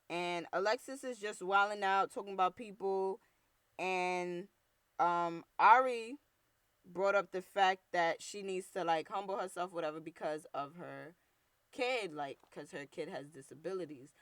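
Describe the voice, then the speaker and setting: A young woman from New York with a deep, rough voice and a New York accent, hosting her own show with blunt, slangy, heated talk. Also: no room sound. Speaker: podcast host. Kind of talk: casual solo commentary.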